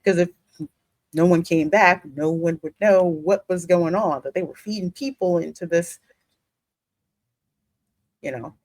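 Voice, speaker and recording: A woman's voice: on the low side, a little slow, pauses often, a little mellow, no echo. A woman hosting a gaming commentary, emphatically theorizing aloud about the story as she reacts. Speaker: gaming host.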